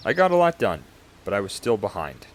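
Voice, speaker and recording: Male narrator, lowish voice with a lot of movement, reflective storytelling delivery, faint noise behind. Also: wildly swooping pitch, a little fast. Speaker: narrator of his own build. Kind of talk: scripted voice-over narration.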